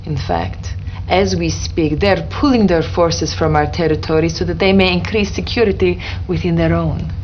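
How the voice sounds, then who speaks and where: An actress playing a grave, patriotic Soviet intelligence officer giving dramatic briefings in a game cutscene. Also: female voice, lowish voice, fairly even intonation, normal speed, darkish tone, lo-fi sound, faint music behind, natural voice. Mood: proud, solemn.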